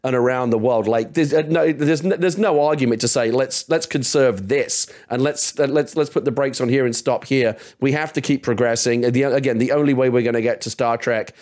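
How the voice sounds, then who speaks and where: A man's voice: mid-range voice, a little fast, few pauses. A man speaking as a podcast guest, emphatic and opinionated, stumbling into restarts in casual discussion. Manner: emphatic, stammering restarts when excited.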